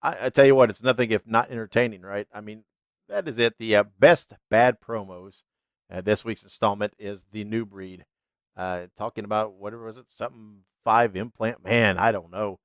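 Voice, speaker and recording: A man's voice: fairly low pitch, fairly even intonation, moderate pace, no echo. Stuffy-voiced man hosting a podcast. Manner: chatty, laughs, fillers. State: stuffy, congested. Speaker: podcast host. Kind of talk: casual podcast outro.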